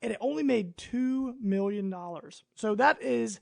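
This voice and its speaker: A man's voice: sits high, measured pace, creaky, a little mellow. A man, around his thirties, hosting a casual podcast discussion in a chatty, emphatic way. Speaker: podcast host.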